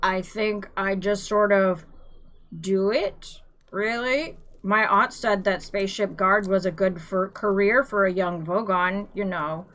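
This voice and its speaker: A woman doing a slow, dim-witted hulking alien guard. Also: medium pitch, a bit bright. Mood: sluggish.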